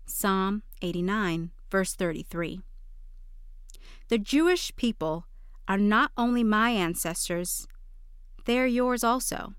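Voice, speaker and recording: A woman's voice: mid-range voice, expressive, slow, long pauses, smooth, no room sound, studio quality. A woman reading a scripted daily devotional for a podcast.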